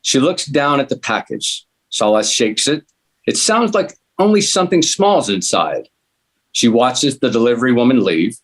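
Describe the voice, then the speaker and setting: A man's voice: medium pitch, big pitch swings, moderate pace. Man narrating a screenplay aloud. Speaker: script narrator at a table read. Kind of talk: scripted narration, reading screenplay action lines aloud.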